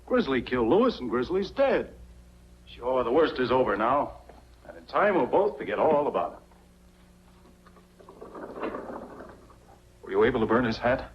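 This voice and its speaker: An actor playing a calculating, persuasive accomplice in a 1940s film noir style. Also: male voice, mid-range voice, sing-song, moderate pace, long pauses, slight background noise, natural voice. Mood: urgent persuading. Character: calculating male accomplice, persuasive and self-protective. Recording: muffled 1940s film soundtrack.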